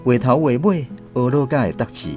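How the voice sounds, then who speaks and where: A man's voice: medium pitch, moderate pace, dark tone, soft background music. A man with a Taiwanese accent narrating a scripted literary radio drama as a storyteller.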